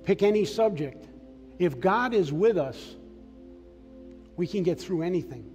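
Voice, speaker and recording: A man's voice: sits high, animated, a little slow. An older man from Massachusetts preaching a sermon on a church stage, giving earnest, plainspoken personal testimony. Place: large church sanctuary stage. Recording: long hall reverb, soft music behind.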